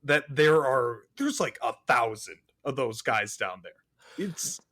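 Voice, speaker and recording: Casual man with a medium-pitched voice. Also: animated, slow pace, bright, forceful tone. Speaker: podcast guest. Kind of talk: casual film discussion.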